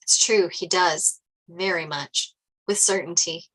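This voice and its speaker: A woman's voice: lowish voice, unhurried, fairly bright tone, slightly rough, rough recording. A woman narrating stage directions from a screenplay at a table read.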